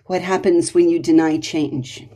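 A woman hosts an informal literary interview. Her voice is on the low side and soft-edged in tone, with a mellow colour. She speaks analytically, leaning into her points with emphasis. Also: fairly even intonation, a little slow. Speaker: podcast interviewer. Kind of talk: conversational literary discussion.

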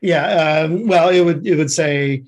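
A man talking informally as an interview guest, telling things in an animated, anecdotal way. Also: fairly high pitch, measured pace. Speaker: screenwriter and producer, podcast guest. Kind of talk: casual interview talk.

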